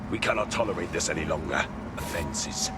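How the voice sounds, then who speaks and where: An actor playing a loyal, grave royal retainer, speaking formally and earnestly in a game cutscene. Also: male voice, low voice, a little fast, heavy background noise, lightly acted. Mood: grave, insistent. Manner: formal, earnest, hushed urgency. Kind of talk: video game cutscene drama acting. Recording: loud game music behind the voice.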